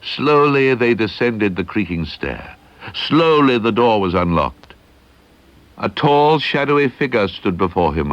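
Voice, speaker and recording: Deep-voiced man reading a ghost story. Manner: measured, suspenseful, slowing and hushing at eerie moments. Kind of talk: dramatic audiobook narration. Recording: dull lo-fi archival tape digitised from cassette.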